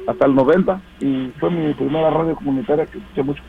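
A man's voice: fairly high pitch, steady tone, fast, creaky, phone-quality sound, a little hiss or hum. A man calling in to a radio show, sending greetings to family in a rambling way with many fillers. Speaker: caller.

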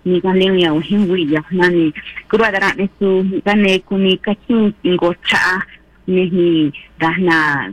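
A woman whose first language is Triqui, co-hosting a radio show in casual chat, talking softly and unhurriedly with many fillers. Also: lowish voice, steady tone, slow pace, poor audio. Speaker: radio co-host. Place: radio studio.

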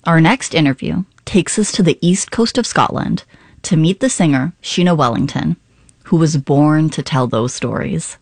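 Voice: mid-range voice, expressive, moderate pace, gravelly, no echo. A radio presenter giving a scripted programme introduction from a studio.

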